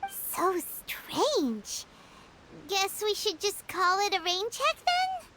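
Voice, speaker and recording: A woman's voice: very high-pitched, big pitch swings, takes it slowly, very noisy, exaggerated voice. A woman voicing a tiny, food-loving companion girl in a video game, with an eager, chirpy and exclamatory manner. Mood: puzzled. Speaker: companion character. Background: loud game soundtrack music.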